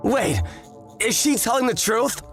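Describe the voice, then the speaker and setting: Man acting a flustered, high-voiced brother-in-law. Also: lively intonation, measured pace, soft background music. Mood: startled. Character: hapless, confused middle-aged brother-in-law in money trouble. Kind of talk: manga dub acting.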